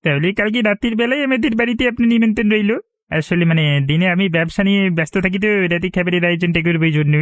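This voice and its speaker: A man's voice: high-pitched, quick pace, no room sound, close to an everyday voice. A man voicing a fawning, calculating village rice trader in a cartoon, speaking in a hesitant, repetitive, ingratiating way. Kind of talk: cartoon voice acting.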